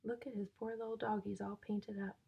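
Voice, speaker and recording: A woman reading a picture book aloud to young children in a sing-song, exaggerated way, pausing to ask and explain like a teacher. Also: medium pitch, flat delivery, gravelly, slightly rough, dull audio. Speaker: children's story-time reader and teacher.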